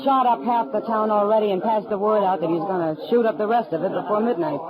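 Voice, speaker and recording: A woman's voice: mid-range voice, quick pace, lightly acted. An American actress playing a blunt, fed-up frontier saloon woman in an old-time radio western, with scorn in her manner. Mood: wry, concerned. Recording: muffled old radio, crowd murmur behind.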